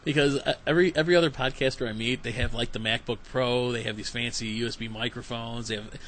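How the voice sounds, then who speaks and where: A man's voice: medium pitch, fairly even intonation, fast, a bit bright. A man hosting a podcast, chatting casually in a rambling, self-deprecating way with his guests. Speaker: podcast host interviewing guests. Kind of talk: casual conversational interview. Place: home basement podcast setup. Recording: faint background noise, home podcast.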